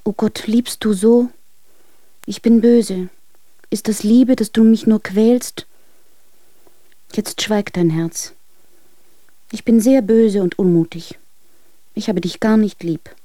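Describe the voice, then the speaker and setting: Actress as a lovesick, clinging young wife, mellow voice. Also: female voice, mid-range voice, fairly even intonation, slow pace, long pauses, dry recording, close to an everyday voice. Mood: hurt, sulky. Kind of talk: radio drama reading of love letters.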